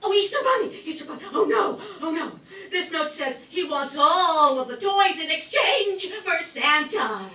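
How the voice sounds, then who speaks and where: A woman voicing an excitable, flustered elf who stammers and repeats words in an amateur comic radio play. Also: very high voice, moderate pace, few pauses, full character voice. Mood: flustered, excited. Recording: echoey room, distant mic, faint noise.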